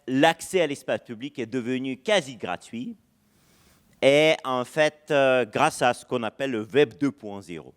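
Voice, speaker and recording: Guy lecturing at a conference, french isn't his first language, lots of euhs, mid pitch. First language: not French. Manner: hesitant, many "euh" fillers and restarts. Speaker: university lecturer. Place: lecture hall.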